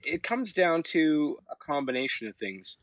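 A middle-aged man from Canada with a fairly low voice, talking about his life in a relaxed, reflective way. He tells his stories with a few hesitant uh's along the way. The sound is dry and close, with no room around it. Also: measured pace, no room sound. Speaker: musician guest. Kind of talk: relaxed interview answers.

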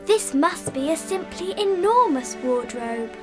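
Curious little girl, very high-voiced. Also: sing-song, unhurried. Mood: puzzled wonder. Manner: hushed wonder, talking to herself. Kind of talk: audio drama acting. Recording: music under the voice.

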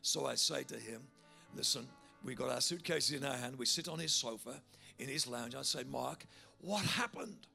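A preacher man with a big, gravelly voice carries his sermon with a bright, forceful tone. He tells his story with energy, then urges his listeners on with growing fervour. Soft music plays beneath him. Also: medium pitch, normal speed. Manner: animated storyteller, building to loud fervent altar call.

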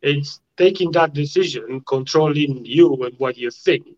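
A man from Romania speaks with a Romanian accent, his voice sitting a touch higher than most men's. He talks in a halting, searching way, often repeating words before a thought lands. The sound is a little dull and lo-fi. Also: a little mellow. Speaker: guest. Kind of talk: casual interview conversation.

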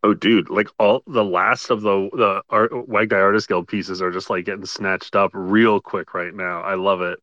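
A man co-hosting a casual podcast chat, rambling in quick bursts with lots of fillers. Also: sits low, steady tone, a bit bright, no room sound, muffled recording. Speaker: co-host.

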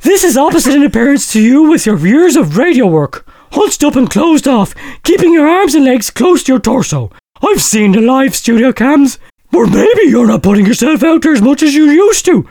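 A man's voice: very high-pitched, big pitch swings, normal speed, a little mellow, full character voice. A man voicing a smug, boastful old friend reading out his patronising comic letter. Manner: patronising, self-satisfied.

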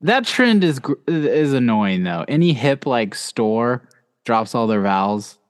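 A man's voice: mid-range voice, big pitch swings, measured pace, clear, resonant tone, big-room sound. A man co-hosting a podcast, telling a wry anecdote in casual chat. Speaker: podcast co-host.

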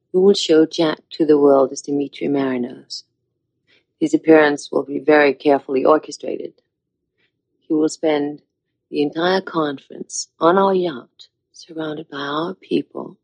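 An actress playing a calm, scheming woman in a TV drama, calculating and precise. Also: low-pitched, gravelly, husky, no room sound, full character voice, poor audio. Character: cool, scheming woman laying out a plot.

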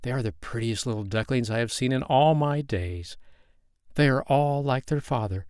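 A man's voice: medium pitch, moderate pace, darkish tone, clear, resonant tone, dry recording, clean studio sound, close to an everyday voice. A man voicing a fussy, weary mother duck in a storybook reading, fretful and motherly.

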